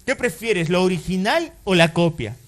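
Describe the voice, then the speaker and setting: A man's voice: sits high, lively intonation, normal speed. A man preaching a sermon as pastor, talking conversationally and often putting rhetorical questions to the congregation, with fillers and self-corrections along the way.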